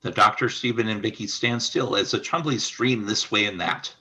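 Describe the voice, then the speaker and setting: A man's voice: lowish voice, normal speed, bright, forceful tone, breathy edge. A man narrating a scripted radio drama, reading out the scene descriptions.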